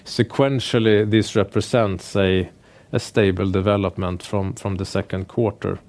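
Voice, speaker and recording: A man with a fairly low voice presents company results as a finance executive. He speaks in a measured, businesslike manner, pausing and hesitating as he works through prepared remarks. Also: steady tone, darkish tone. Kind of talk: earnings call presentation, prepared remarks delivered semi-freely.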